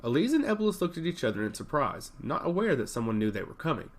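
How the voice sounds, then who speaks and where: A man's voice: a little high, big pitch swings, fast talker. A man reading a scripted fantasy story aloud as its narrator.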